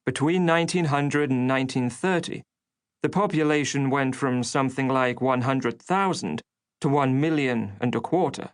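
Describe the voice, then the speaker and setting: A man's voice: medium pitch, moderate pace, clean tone. A man narrating an oral history audiobook in a scripted documentary style.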